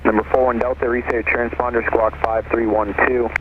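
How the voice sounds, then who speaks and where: A man's voice: mid-range voice, level tone, a little fast. A man giving clipped, procedural air traffic control instructions over aviation radio. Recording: tinny, noisy aircraft radio transmission.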